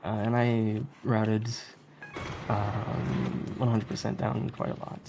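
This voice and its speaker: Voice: mid-range voice, level tone, slow, gravelly. A speedrunner giving casual, rambling commentary on a live stream, often chuckling. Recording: noisy live stream audio.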